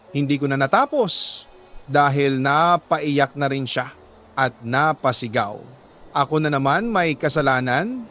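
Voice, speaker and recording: A man with a Filipino accent reading a confessional letter as a radio storyteller, in an emotive, deliberate delivery with dramatic pauses. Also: on the high side, a bit bright, a little hiss or hum.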